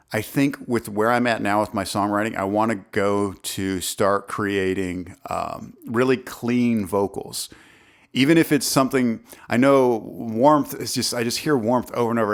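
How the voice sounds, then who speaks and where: A man with a deep voice talking casually to camera about songwriting, rambling with fillers and restarts, close on a studio condenser mic. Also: fairly even intonation, normal speed. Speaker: songwriter vlogger.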